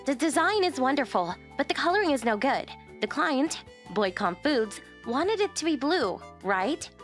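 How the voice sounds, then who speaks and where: A woman voicing a poised, direct young company president in an anime-style manga dub, composed and expressive. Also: high-pitched, normal speed, faint music behind. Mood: curt. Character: poised young female company president, curt at work, softer privately.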